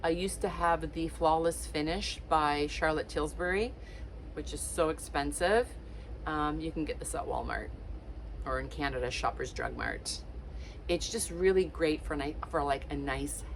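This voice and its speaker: A woman's voice: low-pitched, a little slow, a little hiss or hum, rough recording. A woman in her late fifties, originally from Portugal, chatting casually to camera with lots of ums and likes. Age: late 50s. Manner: chatty, warm-hearted encouragement with many fillers. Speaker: lifestyle vlogger.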